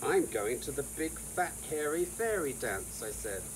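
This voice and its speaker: A man in his sixties or older reads a whimsical storybook aloud in a theatrical, deliberate manner. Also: fairly high pitch, big pitch swings. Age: 60s or older. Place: outdoors in a sunny garden. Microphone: camera a few feet away. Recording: outdoor hiss with insect noise.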